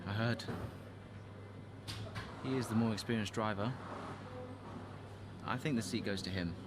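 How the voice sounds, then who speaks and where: An actor playing a young racing driver in a video game, reserved, polite and sincere, speaking in short, restrained replies. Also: male voice, on the low side, measured pace, background noise, lightly acted. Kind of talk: naturalistic video game cutscene acting.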